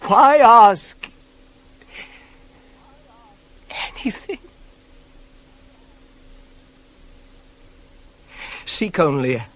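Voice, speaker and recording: An actor playing a proud, bitter young prince dying in agony in a classical radio tragedy, declaiming between hushed gasps and cries. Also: male voice, mid-range voice. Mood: anguished. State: weak and in agony. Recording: hissy 1980s cassette of radio play.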